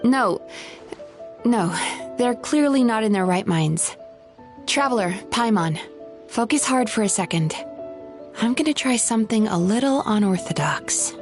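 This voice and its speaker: A calm dream reader. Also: female voice, on the high side, faint music behind. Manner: calm, measured explaining. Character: composed woman dream reader, analytical.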